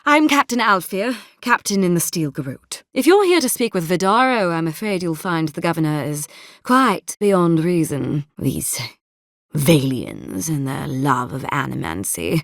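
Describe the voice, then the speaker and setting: Stern female captain, video game character, haughty and zealous, formal clipped speech, lowish pitch, very varied intonation. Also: dry recording, studio quality. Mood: formal, measured.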